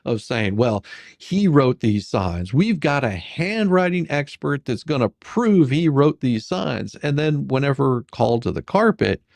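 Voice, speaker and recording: A man's voice: mid-range voice, gravelly, darkish tone, no echo. A man chatting casually and giving opinions on a case, often restarting his phrases, with an exasperated, mocking edge. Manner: halting, repeats and restarts phrases. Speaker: co-host commentator. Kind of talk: casual opinionated chat about a court case.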